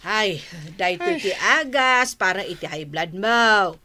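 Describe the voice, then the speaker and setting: A woman's voice: medium pitch, expressive, slow, sharp tone. A woman with an Ilocano accent playing a bossy, scolding older mother and wife in a radio drama. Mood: patient, explaining. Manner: scolding, fussing.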